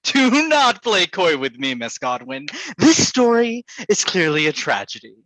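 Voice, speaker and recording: A woman performs a scornful, argumentative critic in a stage drama. The delivery is emphatic and cutting, with very animated pitch and a close, dry sound. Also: unhurried, no room sound, poor audio.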